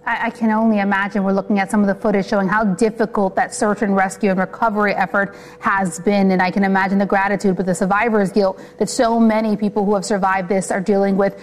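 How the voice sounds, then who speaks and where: A woman anchoring a news broadcast, reading the lead and questioning a live guest in a measured, sympathetic way. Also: mid-range voice, level tone, a little hiss or hum. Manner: measured, scripted delivery with a sympathetic tone toward the guest.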